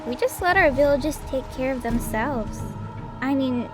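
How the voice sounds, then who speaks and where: A woman acts out a young lioness girl with a very high voice. She is friendly, eager and a bit socially awkward, chatting in a caring way. Music plays clearly behind her in this audio drama. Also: measured pace. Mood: curious. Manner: caring, chatty, flustered when self-conscious. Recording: background music under the voice.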